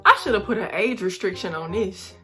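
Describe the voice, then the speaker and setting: A young woman talks straight to her viewers in a slangy, lively vlog. Her voice is middle in pitch and has a bright, forceful edge. Faint music plays softly under her voice. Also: brisk. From Mississippi. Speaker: YouTuber. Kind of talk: casual vlog chat. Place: indoors at a relative's house.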